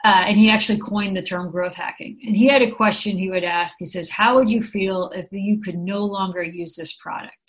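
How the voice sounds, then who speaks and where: A woman's voice: mid-range voice, steady tone, brisk, hardly any pauses, poor audio. A middle-aged American woman from San Francisco, a program director, answering interview questions in a chatty, upbeat way. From San Francisco, USA. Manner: chatty, upbeat, explains with examples.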